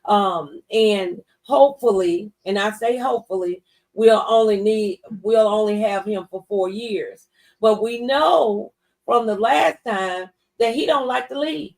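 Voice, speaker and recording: Woman, middle-aged or older, medium pitch, earnest, preacherly, conversational, slightly distant mic. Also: a little slow, vocal fry, a little mellow. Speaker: talk show guest. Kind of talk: conversational faith-based discussion.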